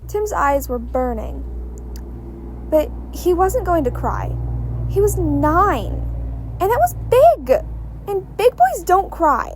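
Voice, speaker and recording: A woman reading fan fiction aloud as an expressive story narrator. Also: high voice.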